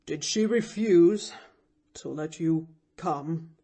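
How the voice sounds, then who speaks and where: Gentle, wounded older man, slow and dark-toned, read by a woman. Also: full character voice. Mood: grave hurt. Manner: low and grave, sinking to a hushed murmur. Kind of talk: audiobook character voice.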